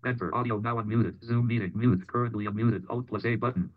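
A man's voice: fairly low pitch, fairly even intonation, brisk, no echo, phone-quality sound. A synthetic male voice reading out screen reader announcements.

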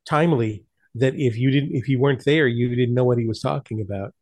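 A man's voice: medium pitch, a little fast, darkish tone, no room sound. A man chatting casually as the presenter of a group evening about old radio shows. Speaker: presenter of an online old-time radio night.

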